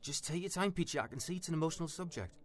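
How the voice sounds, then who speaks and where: Man playing a quick, nervously polite guy. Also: a little high, natural voice. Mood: nervously curious. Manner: hesitant, awkwardly polite, placating. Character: nervous, over-polite foreign man trying to escape. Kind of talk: comic dialogue acting.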